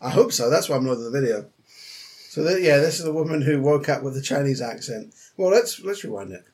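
A man's voice: mid-range voice, lively intonation, quick pace, darkish tone. A young Scottish man co-hosting a casual podcast, blunt and jokey, often laughing. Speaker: podcast co-host.

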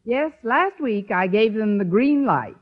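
Sardonic schoolmarm, animated pitch, dry punchlines, vintage radio comedy, slightly dull sound. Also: female voice, measured pace. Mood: wry, narrating. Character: wry, quick-witted single schoolteacher woman. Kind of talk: 1950s radio comedy acting.

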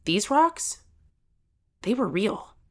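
A woman's voice: mid-range voice, sing-song, extremely slow, a little mellow, full character voice. A woman playing an earnest, determined thirteen-year-old contestant who narrates their own story in an audio drama. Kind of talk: scripted first-person audio drama narration.

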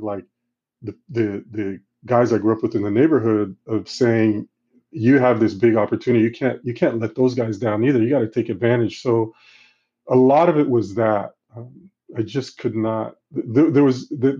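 A middle-aged man who grew up in Central Africa, answering interview questions in a halting, reflective way with many restarts. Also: medium pitch, dark tone, husky. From Congo, Central Africa. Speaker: guest. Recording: slightly dull, lo-fi sound.

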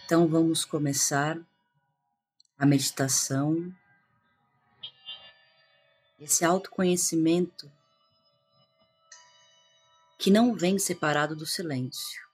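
A Brazilian woman guiding a meditation in a calm, hushed manner with long pauses. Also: deep voice, slightly rough. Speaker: meditation guide, integrative therapist. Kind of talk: guided meditation. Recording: music behind the voice. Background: meditation music.

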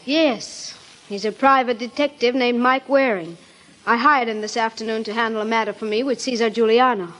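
Actress doing cool scheming dame in radio mystery, highish voice, deliberate. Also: female voice, steady tone, a bit bright, lightly acted, low-quality recording. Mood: cool, accusing. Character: cool, scheming female client accusing the detective. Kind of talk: old-time radio drama acting.

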